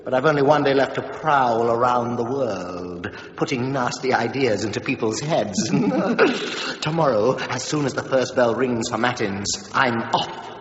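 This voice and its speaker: An actor playing a sly, flirtatious male devil in a radio play, smooth and teasing. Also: mid-range voice, a little slow, slight background noise, natural voice. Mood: mischievous. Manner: smooth, teasing, playful with sudden yelps. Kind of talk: radio drama acting. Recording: roomy reverberant dull radio recording.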